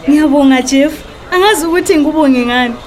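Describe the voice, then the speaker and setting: An actress playing a coy, expressive young mistress in a radio drama, with a Zimbabwean accent. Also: female voice, high voice, fast, close to an everyday voice. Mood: coy, delighted. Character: flattered, coy young mistress, sharp when insulted.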